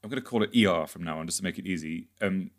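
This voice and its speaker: A man hosting a podcast interview in a conversational, halting way, rephrasing as he goes. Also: sits low. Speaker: podcast host.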